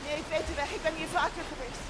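A very high-pitched older woman, played by an actress, confused but stubborn, in a seventies television drama. Clear hiss and rushing water run behind the voice. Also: fairly even intonation, lightly acted. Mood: indignant. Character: confused, stubborn elderly mother visiting her son's workplace. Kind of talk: 1970s Dutch television drama acting. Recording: hissy TV audio, loud rushing water.

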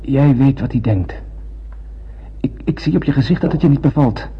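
An actor playing a brooding young spaceship crewman, narrating in a solemn, poetic and measured way in a radio drama. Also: mid-range voice, close to an everyday voice. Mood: urgent. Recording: muffled, hissy old radio drama recording.

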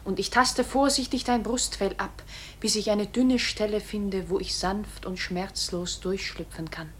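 A woman voicing a gentle bullet that speaks softly and carefully to its dying victim in a poetic radio play. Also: medium pitch, steady tone, unhurried, a little hiss or hum, lightly acted. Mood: tender, eerie. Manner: soft, careful, soothing.

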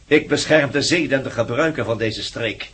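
An actor playing a corrupt village sheriff in a radio drama, mocking, pompous and threatening. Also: male voice, medium pitch, normal speed, fairly bright tone, slightly rough. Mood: pompous, self-assured.

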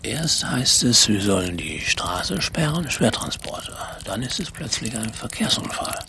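A man's voice: medium pitch, very animated, measured pace, vocal fry, whispered. An actor playing a patrol policeman who grumbles and mutters to himself in a radio play. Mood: muttering. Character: grumbling middle-aged male patrol cop.

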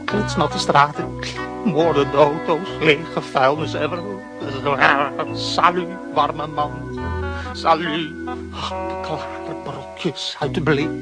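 A doleful dog with a slightly raised voice, slow and self-pitying, played by a man in a children's radio play. Music runs underneath him throughout. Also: on the high side. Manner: theatrical, self-pitying inner monologue. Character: melancholy male dog, a stowaway sleuth.

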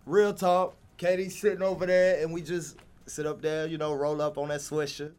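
A rapper speaks earnestly in a casual interview chat. His voice sits a little high for a man. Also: level tone, normal speed, a little mellow, clean tone.

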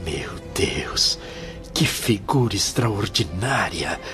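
A man's voice: deep voice, animated, takes it slowly, over music. A man playing a devout, awestruck spirit newcomer in a Brazilian radio drama, reverent and theatrical, with a Brazilian accent. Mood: awed. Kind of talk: Brazilian radio soap acting.

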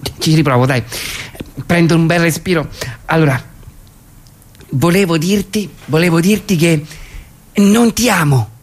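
Giddy, stammering woman in love, played by an actress. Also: deep voice. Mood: bashful, nervous. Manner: halting, stammering, gushing. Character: giddy, bashful woman in love, phoning from work. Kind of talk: radio theatre comic dialogue.

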